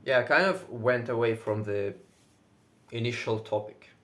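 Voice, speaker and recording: A man whose first language is Russian, speaking English with a Russian accent in casual solo talk, now and then pausing to search for words and swearing lightly. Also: mid-range voice. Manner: says he is loud most of the time. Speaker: video creator.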